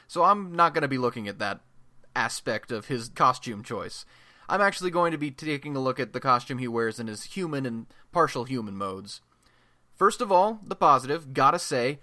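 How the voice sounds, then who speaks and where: A man's voice: medium pitch, pushed and bright, dry recording. A man playing a chatty, self-deprecating young American amateur radio blogcaster in a superhero radio play, talking in an informal, wisecracking podcast style. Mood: wry. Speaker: radio show host.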